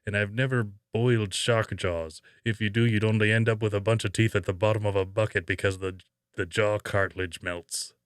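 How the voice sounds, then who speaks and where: A gruff old shark fisherman, as a man puts him on, speaking low and close in a dry space. He is blunt and emphatic, like a salty film character giving interview answers. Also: steady tone, fairly quick, no echo, close to an everyday voice. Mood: scornful, blunt. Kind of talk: imitated movie-character voice reading interview answers.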